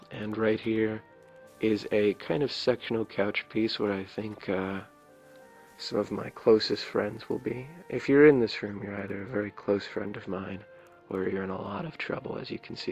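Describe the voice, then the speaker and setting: A man with a low raspy voice giving rambling, playful ASMR commentary as he plays a video game. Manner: rambling, playful, jokingly self-important. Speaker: game streamer. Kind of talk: ASMR let's play commentary.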